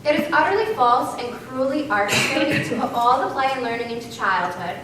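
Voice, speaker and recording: A woman reads dramatically as part of a stage performance. Her voice sits on the high side and rings through a very echoey room, picked up from afar. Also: animated, fairly quick. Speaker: readers theater performer. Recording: very echoey hall, distant mic, faint noise.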